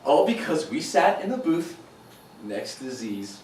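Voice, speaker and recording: A man's voice: fairly high pitch, sing-song, measured pace, darkish tone. A man playing a naive, defensive young man who rambles, hesitates and swears a lot in a dramatic scene. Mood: animated storytelling. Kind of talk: dramatic acting. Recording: very echoey room, distant mic, noisy background.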